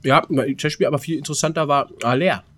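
A man's voice: fairly high pitch, quick pace, a bit bright. A man co-hosting a podcast in casual comedic banter, with a colloquial, joking way of talking and mock-formal asides. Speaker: podcast co-host.

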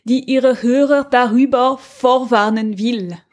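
Woman, slightly high pitch, podcast co-host, casual conversational explaining, halting delivery. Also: steady tone, a little mellow. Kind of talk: casual chat, recommending an audio drama.

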